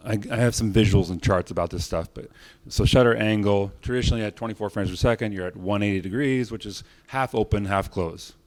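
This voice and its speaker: A man's voice: fairly low pitch, normal speed. A man giving an informal conference talk on technique as a presenter, speaking in short phrases. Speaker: cinematography presenter.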